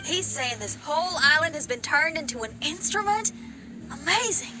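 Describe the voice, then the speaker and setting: A woman voicing a kind, encouraging young woman in an anime video game, with a gentle, reassuring manner. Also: high voice, lively intonation, normal speed, over music. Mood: thoughtful.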